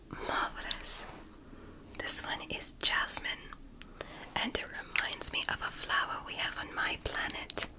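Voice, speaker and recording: A woman whispering as a gentle alien woman doctor in an ASMR roleplay, softly praising and reassuring her patient. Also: lightly acted, poor audio. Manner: soft, slow, praising and reassuring.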